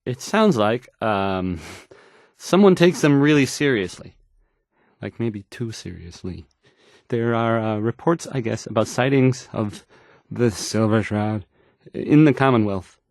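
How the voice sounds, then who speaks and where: An actor playing an awkward, nervous young radio DJ in a video game, stammering and rambling through news reports. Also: male voice, lowish voice, lively intonation, a little slow, darkish tone, no room sound, studio quality. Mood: nervous, unsure. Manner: hesitant, stammering, rambling, self-doubting. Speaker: radio newsreader. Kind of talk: bumbling news announcing.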